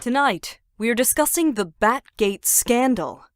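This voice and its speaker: A woman voicing a poised female TV news anchor in a fan-dubbed motion comic, with crisp broadcast delivery. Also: a little high, expressive, a little slow, loud music behind the voice. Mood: formal.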